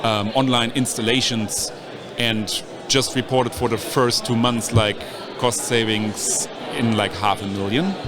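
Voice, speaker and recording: A man's voice: medium pitch, fairly even intonation, a little slow. Man chatting over a conference crowd. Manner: loose, fills speech with "like". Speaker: guest, sales executive. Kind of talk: casual conversation. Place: onsite at a user conference. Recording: busy crowd behind.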